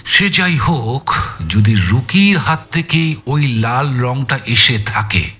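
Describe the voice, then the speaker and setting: An actor playing an exhausted, frustrated police detective in an audio thriller, with a halting, emphatic way of telling things. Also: male voice, mid-range voice, lively intonation, sharp tone, husky, lo-fi sound, soft background music, exaggerated voice. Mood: intent, explaining. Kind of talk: dramatized audio thriller acting.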